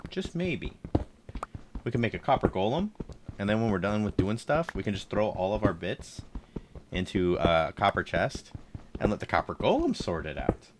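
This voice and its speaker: A man with a low, slightly congested voice chatting casually while playing a game on stream and thinking aloud. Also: background noise. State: congested, throat tickle. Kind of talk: casual live gameplay commentary.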